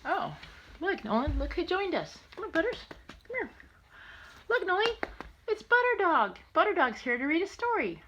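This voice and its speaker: A woman's voice: very high voice, sing-song, moderate pace, long pauses, pushed and bright, slight background noise. An older woman, a grandmother reading a picture book and chatting to her young grandchild in a playful, sing-song, affectionate way. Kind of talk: animated read-aloud and affectionate chat to a young child.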